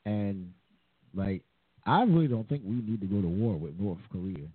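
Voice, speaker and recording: Husky man, 40s, talk show pastor, phone-like sound, dry. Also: on the low side, animated, moderate pace, no echo. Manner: chatty, laughs often, repeats phrases. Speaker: pastor and host. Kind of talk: casual radio talk show chat.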